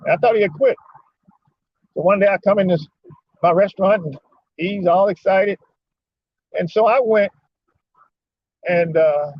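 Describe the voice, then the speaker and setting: High-pitched man giving folksy religious talk, dull audio. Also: slightly rough, dry recording. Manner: conversational, folksy, repeats phrases for emphasis. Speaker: community religious speaker. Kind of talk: informal religious talk with personal storytelling. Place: parked in a lot, talking remotely.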